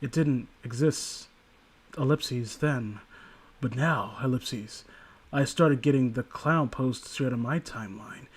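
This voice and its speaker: A man's voice: medium pitch, slow, husky. A man casually reading aloud and commenting, sardonic and prone to profane asides. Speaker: host reading and critiquing internet horror stories.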